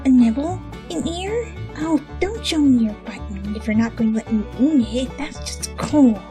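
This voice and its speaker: Woman voicing a brash, high-pitched undead pony. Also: animated, moderate pace, warm tone, soft background music. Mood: pushy, wheedling. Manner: slangy, pushy, wheedling. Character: brash undead pegasus mare, casual and hungry.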